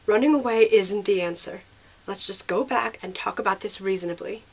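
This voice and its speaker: A woman's voice: mid-range voice, normal speed, darkish tone, breathy edge, rough recording. A woman playing a sensible, caring older teenage girl who reassures and reasons, in an audio drama. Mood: reasoning.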